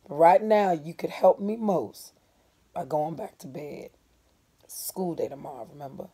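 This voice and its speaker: A woman portrays a protective mother in a storybook. She speaks softly and calmly with gentle firmness, in a low, mellow, husky voice. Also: measured pace, heavily acted. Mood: gentle, firm. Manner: soft-spoken, hushed.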